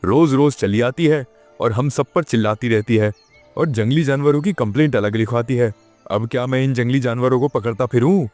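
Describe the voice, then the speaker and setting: A man plays a village police station chief in a cartoon moral story. His mid-range voice is weary and exasperated, with a sarcastic grumble. Soft music runs behind him. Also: mid-range voice, moderate pace, no room sound, natural voice.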